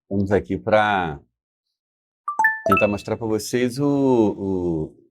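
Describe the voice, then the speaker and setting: A middle-aged Brazilian man telling stories casually as a guest, haltingly, repeating himself and often checking for agreement. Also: fairly low pitch, over loud music. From Brazil, born in Espírito Santo. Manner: halting, repetitive, often seeks agreement with tag questions. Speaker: interview guest, business founder. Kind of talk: casual storytelling in conversation.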